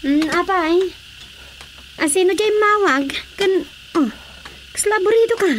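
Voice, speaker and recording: A woman's voice: very high voice, unhurried, slight background noise. An actress playing a drowsy woman roused by a caller, with a Filipino accent, in a radio drama. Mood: puzzled.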